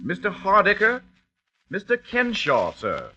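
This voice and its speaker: An actor playing a butler who announces guests stiffly and formally in an old radio drama. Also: male voice, sits high, huge pitch swings, unhurried, heavily acted. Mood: formal, announcing. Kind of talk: 1940s radio drama acting. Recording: muffled old radio recording with loud music.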